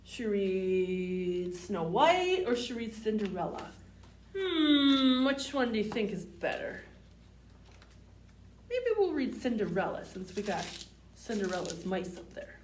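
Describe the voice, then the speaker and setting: A woman's voice: mid-range voice, big pitch swings, unhurried, darkish tone. A woman hosting a children's storytime, reading picture books aloud expressively and putting simple questions to young listeners. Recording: roomy, distant mic, faint background noise.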